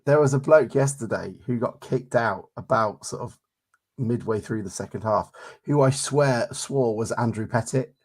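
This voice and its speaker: A man's voice: mid-range voice, moderate pace, darkish tone. An English man co-hosting a football podcast, chatting casually with blunt, jokey banter. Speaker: podcast co-host. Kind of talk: casual chat.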